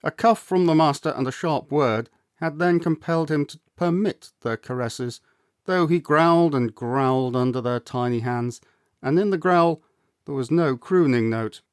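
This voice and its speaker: A man narrating a classic novel as a storyteller, with measured, deliberate phrasing and dramatic pauses. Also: on the high side, smooth, no room sound, clean studio sound. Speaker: storyteller, audiobook reader.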